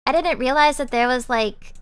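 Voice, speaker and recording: A woman's voice: sits high, normal speed, fairly bright tone, clean tone, no room sound. A young woman streamer chatting casually and rambling with lots of fillers while she winds down her stream. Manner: bubbly, rambling with many fillers. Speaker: VTuber streamer. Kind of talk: casual live-stream chat.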